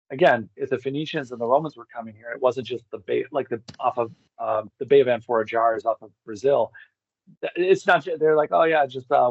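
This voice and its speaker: A man's voice: mid-range voice, no echo. Man in dull, dry lo-fi sound. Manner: rambling, thinking aloud. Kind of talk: casual interview chat about ancient history.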